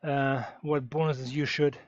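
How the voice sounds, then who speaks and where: A man's voice: mid-range voice, flat delivery, unhurried, no room sound. A man chatting while riding. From Germany. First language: German. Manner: easygoing, thinking aloud with many fillers. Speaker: streamer riding an indoor bike session. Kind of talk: casual livestream chat, answering viewers while riding. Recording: dull, dry lo-fi sound.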